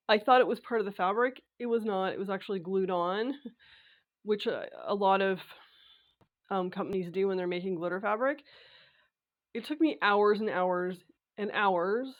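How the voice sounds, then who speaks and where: Raspy, congested woman chatting about sewing. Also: mid-range voice, muffled recording. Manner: chatty, with frequent filler sounds and restarts. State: congested, recovering from a cold. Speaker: sewing vlogger. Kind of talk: casual talk to camera.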